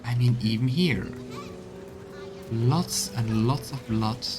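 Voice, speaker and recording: A man's voice: mid-range voice, takes it slowly, music in the background. A man streaming a game, reading texts aloud and musing on them in gameplay commentary. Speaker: let's play streamer.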